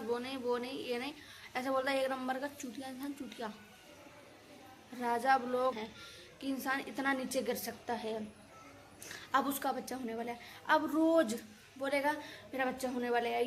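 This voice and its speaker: A woman's voice: high voice, slight background noise. A woman reaction vlogger speaking casually to her viewers, indignant and scolding, venting in repetitive colloquial phrases. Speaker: reaction video creator. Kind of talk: casual opinionated rant to viewers.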